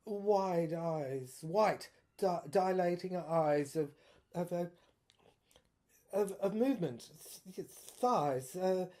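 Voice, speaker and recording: A woman playing a haunted, bewildered officer in a dramatic monologue, stammering through visions in halting fragments and drifting into breathy whispers. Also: lowish voice, lively intonation, warm tone. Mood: halting, musing. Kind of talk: dramatic first-person monologue.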